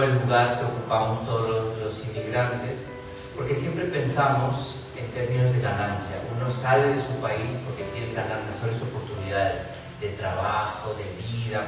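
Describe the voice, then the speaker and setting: A man's voice: mid-range voice, heavy reverb, far from the mic, rough recording. A man from Peru, an author on a literary panel, talking in a reflective, thinking-aloud way with frequent restarts. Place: large university hall.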